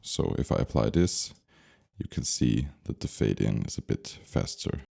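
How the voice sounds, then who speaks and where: A man giving an unscripted software tutorial, thinking aloud step by step as he instructs. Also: a bass voice, big pitch swings, normal speed, vocal fry.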